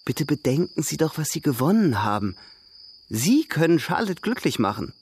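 An actor playing an urbane young brother in a radio drama, persuading in a courtly, playful, eager way. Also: male voice, on the high side, sing-song, normal speed. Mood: eager, persuasive.

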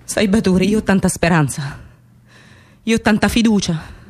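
A woman's voice: medium pitch, normal speed, close to an everyday voice. An actress playing an anguished, defiant working-class Sicilian woman in a radio theatre piece, in incantatory, repetitive dramatic monologue with a Sicilian accent. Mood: desperate, insistent. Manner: incantatory, repeating phrases with intensity.

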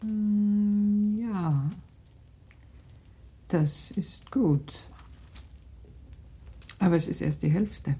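An actress playing a shrewd, worried middle-aged aristocratic mother in a radio play, talking in a measured, confiding way that sometimes sinks to a whisper. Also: on the low side, gravelly, dark tone, breathy edge, full character voice. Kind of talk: 1960s German radio drama acting.

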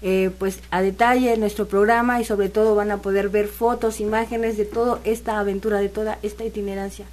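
A woman's voice: mid-range voice, level tone, fairly quick, few pauses. Woman guest, eager organizer, chatty with fillers. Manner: enthusiastic, warm-hearted, fillers like "eh, pues". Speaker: festival organizer. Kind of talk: casual radio interview.

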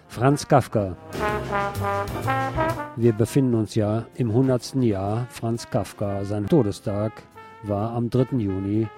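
A man's voice: lowish voice, fairly even intonation, slow pace. A man working as a radio host, reading out quotations and short commentary as he presents music.

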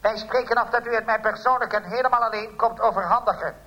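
Squeaky-high, smug villain. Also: male voice, quick pace, highs cut off. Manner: calmly menacing, polite. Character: smug, polite male blackmailing villain. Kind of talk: comic radio play acting.

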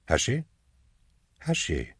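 A man's voice: lowish voice, animated, moderate pace, fairly bright tone, breathy edge, no echo, clean studio sound. A man reading the part of a brooding, impatient man in an audiobook, clipped and commanding. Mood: guarded, polite. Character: curt, brooding, impatient man, a customer of authority.

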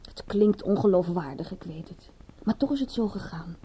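An actress playing a bored, guilty young fashion model in a radio drama, with a breathy, languid, confiding delivery. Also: female voice, lowish voice, expressive, moderate pace, dark tone, slight background noise, lightly acted. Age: young adult. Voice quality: husky, breathy. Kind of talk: radio drama acting in Dutch.

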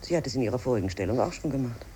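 A brisk, callous bourgeois wife. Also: female voice, rumbling low, expressive, mellow. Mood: irritated, bossy. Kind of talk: 1950s German radio play acting. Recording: old radio recording, faint noise.

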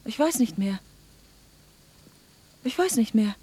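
A woman's voice: a little high, lively intonation, slow, warm tone, breathy edge, exaggerated voice. An actress playing a distraught woman who pleads insistently in a German radio drama. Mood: confused. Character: Anna, distraught pleading woman rousing people from sleep at night.

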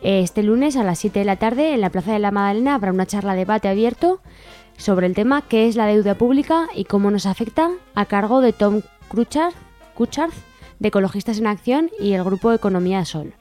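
A woman's voice: medium pitch, lively intonation, fairly quick, warm tone, faint music behind. A woman from reading scripted announcements of upcoming local events as a radio presenter.

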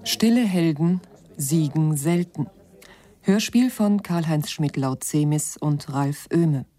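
A woman's voice: low voice, animated, takes it slowly, dark tone. A woman reading a scripted radio drama announcement.

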